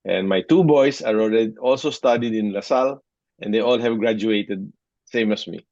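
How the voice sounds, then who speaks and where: A man. He talks as an interview guest, haltingly, with many restarts. The sound is a dry, dull, lo-fi remote call. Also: lowish voice, a little mellow, no room sound. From Philippines. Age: 60s. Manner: hesitant, with frequent restarts and short laughs. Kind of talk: conversational interview.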